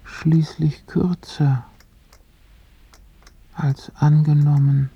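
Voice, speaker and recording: A woman narrating a poetic radio drama in a slow, hushed and reflective way. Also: low-pitched, fairly even intonation, creaky, husky, dry recording, lo-fi sound.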